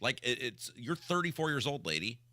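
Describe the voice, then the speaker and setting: A male radio host delivers opinionated banter in a very bright, punchy voice. His delivery is exasperated and emphatic, with lively pitch swings and points hammered home by repetition. The sound is dry and close. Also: a little fast, dry recording. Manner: emphatic, exasperated ranting with rhetorical questions and repetition. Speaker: radio talk show host.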